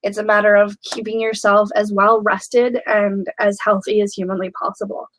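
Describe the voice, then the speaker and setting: A young woman hosting a live question-and-answer chat, talking candidly with many pauses and fillers, a little snuffly from a flu. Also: mid-range voice, little pitch movement, fairly bright tone, a little hiss or hum. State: snuffly, getting over a flu. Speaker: host of a live question stream.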